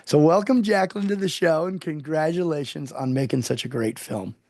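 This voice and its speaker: A male interview host with a gravelly, creaky voice talks with effusive, gushing enthusiasm, often restarting his phrases. He is recorded dry and close, with no room around him. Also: on the high side, moderate pace, darkish tone, no room sound. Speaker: show host.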